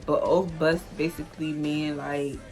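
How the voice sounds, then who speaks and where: Chatty young D.C. woman, low-pitched voice. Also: flat delivery, measured pace, a little mellow, soft background music, rough recording. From Washington, D.C. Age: 20s. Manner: loose and chatty, heavy "like" fillers, easy laughter. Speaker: vlogger. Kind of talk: casual solo vlog explainer.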